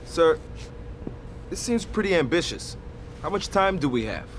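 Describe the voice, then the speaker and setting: Earnest, expressive army major. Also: male voice, on the high side, unhurried, a little hiss or hum. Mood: doubtful, respectful. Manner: deferential, earnest. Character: earnest, doubtful army major, respectful subordinate. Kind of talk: dramatic military game cutscene.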